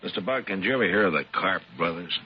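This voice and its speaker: An actor playing a calm, dryly wry US marshal in a western radio drama. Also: male voice, low voice, racing, slightly rough, full character voice. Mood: businesslike. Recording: dull, noisy old radio recording.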